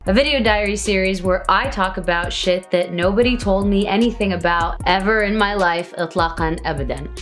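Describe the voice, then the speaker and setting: A woman's voice: mid-range voice, fast, a bit bright, faint music behind. A woman from Arabia, hosting a direct-to-camera video diary in a frank, playful, candid way. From Saudi Arabia.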